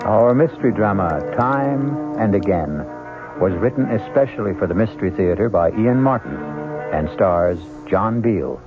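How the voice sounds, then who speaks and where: Mystery host: male, low pitch, measured and ominous, theatrical narration on a thin vintage broadcast. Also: expressive. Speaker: radio drama host. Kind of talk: old-time radio scripted narration. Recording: tinny vintage radio broadcast, music, hum.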